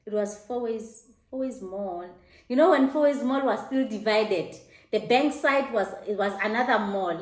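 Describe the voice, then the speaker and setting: South African woman, middle-aged, lively storyteller, somewhat high voice, roomy echo. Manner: animated, laughing, high-pitched mock exclamations. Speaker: host. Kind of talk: casual storytelling to camera. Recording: echoey room.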